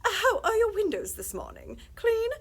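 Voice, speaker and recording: A woman's voice: very high-pitched, big pitch swings, moderate pace, pitched above the performer's own voice, exaggerated voice. A woman voicing a chipper, chatty male phone salesman with a put-on English accent.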